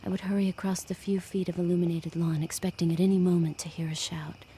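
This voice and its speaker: An actress playing a fearful, guarded captive handmaid with a hushed, breathy voice in an audio drama. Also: female voice, fairly low pitch, level tone, quick pace, slight background noise, close to an everyday voice. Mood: intimate, tense. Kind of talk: audio drama acting and narration.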